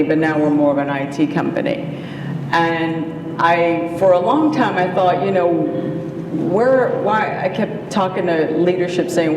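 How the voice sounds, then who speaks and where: Woman panelist, animated storyteller, heard from a distance in a noisy, echoing hall. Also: low-pitched. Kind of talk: panel discussion. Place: conference hall. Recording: echoey hall from far off, background noise.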